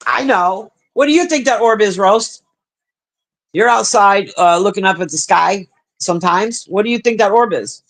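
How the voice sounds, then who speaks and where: Deep-voiced woman, profane and blunt. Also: normal speed. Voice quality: deep, husky smoker's voice. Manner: profane, blunt, animated banter. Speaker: livestream host reading chat. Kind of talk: casual livestream chat.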